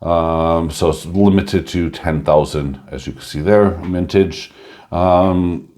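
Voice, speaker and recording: A man chatting casually through a coin review, rambling with lots of fillers and a slightly hoarse voice. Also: deep voice, slow, a little mellow.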